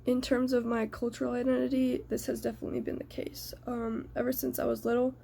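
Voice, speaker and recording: A young woman from the United States giving a prepared personal reflection in a measured, earnest way. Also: on the high side, little pitch movement, gravelly, warm tone, a little hiss or hum.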